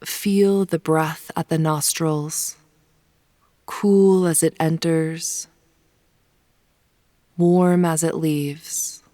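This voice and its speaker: A woman leading a guided yoga nidra meditation in a soft, soothing, unhurried manner, with phrase ends sometimes hushed. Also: sits low, fairly even intonation, no room sound, clean studio sound. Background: soft pink noise.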